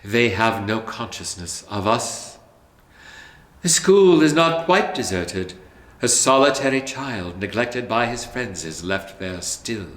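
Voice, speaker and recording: A gentle spirit read by a man speaks evenly and calmly, close to the reader's own voice. Each line comes out measured. The recording is roomy. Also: on the low side. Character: calm spirit, gentle and quietly probing. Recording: roomy echo.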